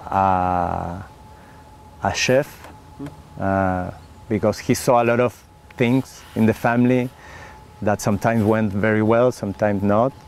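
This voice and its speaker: A middle-aged Chilean winemaker with a Chilean Spanish accent, a native Spanish speaker, speaking earnestly in an oral history interview with many fillers and restarts. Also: male voice, on the low side, fairly even intonation, slow, darkish tone, a little hiss or hum.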